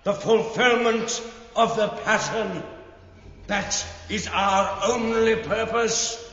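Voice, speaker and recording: A stern, formal guild elder in a fantasy audio drama. He speaks extremely slowly, with a bright, forceful tone and cold authority. The sound is a reverberant hall on dull tape. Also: high-pitched, fairly even intonation.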